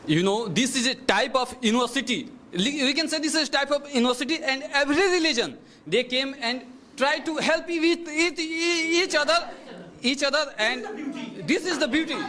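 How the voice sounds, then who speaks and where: A man's voice: extremely high pitch, moderate pace, a crowd in the background. A man in a classroom debate arguing earnestly, repeating his phrases as he goes. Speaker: debate participant.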